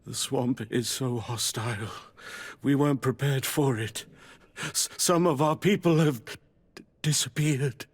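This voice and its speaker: A man playing a frightened, wounded researcher priest in a video game, weak and halting, with stammers. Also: mid-range voice, breathy edge. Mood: dazed, frightened. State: weak, shaken. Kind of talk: video game dialogue acting.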